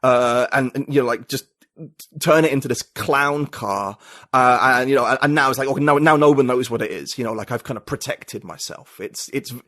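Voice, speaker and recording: A British man, a writer and podcast guest, talking in a reflective, halting way with many restarts. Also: mid-range voice, fairly bright tone, no room sound, studio quality. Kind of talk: casual conversation.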